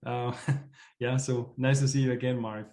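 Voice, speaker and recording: A man's voice: medium pitch, steady tone, unhurried, darkish tone, roomy sound. Informal, appreciative man hosting. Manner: appreciative, informal, chuckling often. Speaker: host of an online community meeting. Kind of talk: casual welcoming moderation of a video call.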